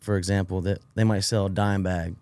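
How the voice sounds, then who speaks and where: Forties male guest, low flat mellow voice, relaxed filler-heavy talk. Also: fairly quick, clean tone, no room sound. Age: 40s. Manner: laid-back, many "like" and "you know" fillers. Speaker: guest hip hop artist. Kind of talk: casual interview chat. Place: podcast studio.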